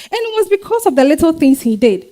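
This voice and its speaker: Woman performing young Ghanaian market wife, high lively voice, candid, warm, weary, expressive stage storytelling. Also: quick pace. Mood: fond reminiscing. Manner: expressive, conversational, with hums and exclamations. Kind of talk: dramatized stage reading, first-person storytelling.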